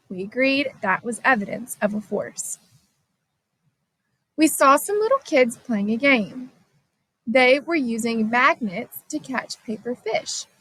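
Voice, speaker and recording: A woman reading a children's science story aloud as scripted narration, expressive and clearly phrased. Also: high-pitched, rough recording. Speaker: storybook reader.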